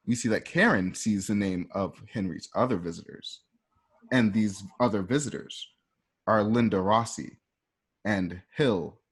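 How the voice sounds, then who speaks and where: A man narrating scenes of a radio drama informally, like a storyteller reading stage directions in a conversational way. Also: fairly low pitch.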